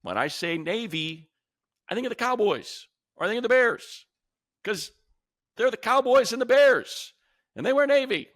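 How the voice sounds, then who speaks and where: A man's voice: extremely high pitch, expressive, normal speed, fairly bright tone, dry recording, studio quality. An American man co-hosting a sports show with casual, opinionated commentary, speaking emphatically and repeating phrases for effect. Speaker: sports podcast co-host and commentator.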